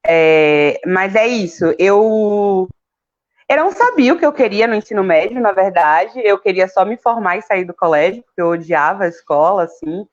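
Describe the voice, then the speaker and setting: A woman from Salvador speaks informally in a debate, with fillers between her thoughts. Her voice sits at a middling pitch. The audio sounds dull and muffled. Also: lively intonation, normal speed. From Salvador, Brazil. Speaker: chemistry graduate and council candidate. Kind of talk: casual spoken life story in a debate.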